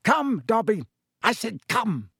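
A man voicing a cold, sneering aristocratic wizard who talks slowly and with quiet menace. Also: high-pitched, very animated, slightly rough, dry recording, clean studio sound, voice pushed up, exaggerated voice. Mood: furious. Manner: soft and menacing, slow.

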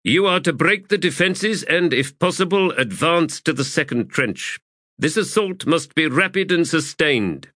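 A man's voice: a little high, expressive, unhurried, bright, forceful tone, dry recording, clean studio sound, voice pushed up. A man voicing a commanding, exasperated army commander in a formal, clipped audiobook performance. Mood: brisk command. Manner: formal, clipped, authoritative oratory. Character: commanding, exasperated male army commander addressing his troops. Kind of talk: audiobook character acting.

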